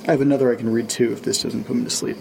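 A man acting as a jittery guy keeping a spooky audio diary late at night. His voice is hushed and creaky, conversational and confessional. Faint noise and night insects sit behind him. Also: medium pitch, level tone, racing. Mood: uneasy, apologetic. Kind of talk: confessional audio-diary horror fiction, casual.

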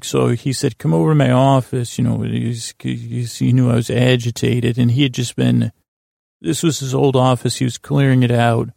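Gravelly, creaky American man rambling softly along. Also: medium pitch, no room sound, studio quality. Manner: halting, circling, tangent-prone, self-deprecating. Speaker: podcast host. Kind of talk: rambling, meandering bedtime storytelling monologue.

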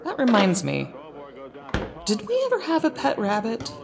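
Young adult daughter, caring but exasperated, expressive pitch, natural conversational audio drama read. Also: female voice, moderate pace, creaky, full character voice. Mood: pensive, earnest. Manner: conversational, earnest, gently exasperated.

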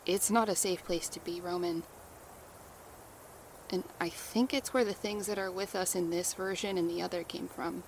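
A woman's voice: fairly low pitch, fairly even intonation, normal speed, lightly acted. Woman doing a desperate young woman, urgent and pressing, faint noise. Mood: haunted. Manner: urgent, explaining, losing memory. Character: woman named Claire, desperate traveler between worlds. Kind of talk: horror audio drama.

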